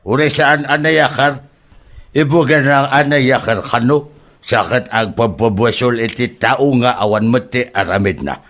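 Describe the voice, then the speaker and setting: A man's voice: mid-range voice, measured pace, a bit bright. A man acting an aggrieved older village father with an Ilocano accent in a comedy drama, protesting hesitantly. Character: aggrieved older village father accused over a land boundary.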